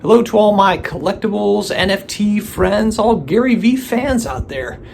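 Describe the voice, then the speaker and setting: Guy with laryngitis vlogging, raspy gravelly voice, lots of ums and restarts. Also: high-pitched, normal speed, music in the background. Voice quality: gravelly, strained voice. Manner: rambling, hesitant, many fillers and restarts. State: hoarse from laryngitis. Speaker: collectibles channel host. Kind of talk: casual solo video commentary.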